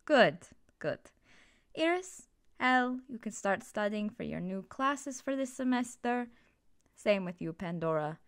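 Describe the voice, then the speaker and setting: Woman acting as female magic professor, strict, authoritative, measured, ASMR fantasy roleplay. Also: mid-range voice, big pitch swings, clear, resonant tone. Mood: composed.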